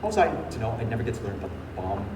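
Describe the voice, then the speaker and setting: A man's voice: big pitch swings, rapid-fire. An actor on stage playing an aggrieved, doomed male revolutionary leader with theatrical, declamatory delivery. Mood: wistful, declaiming. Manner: declamatory, swinging between outrage and wistful musing. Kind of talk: theatrical comic stage acting. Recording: echoey hall from far off, noisy low-quality audio.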